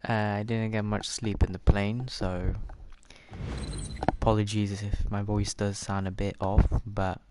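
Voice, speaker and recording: A man's voice: on the low side, flat delivery, measured pace. A tired man with a sore throat, casually commentating his racing game stream and keeping his voice down. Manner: rambling, keeps his voice down. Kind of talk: casual live gameplay commentary. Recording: loud racing game engine noise.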